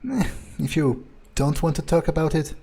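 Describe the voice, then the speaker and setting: A man's voice: on the high side, fairly even intonation, normal speed, mellow, slightly rough. A man improvising as an aloof, cynical vampire in a video game roleplay, speaking casually and with some hesitation. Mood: guarded.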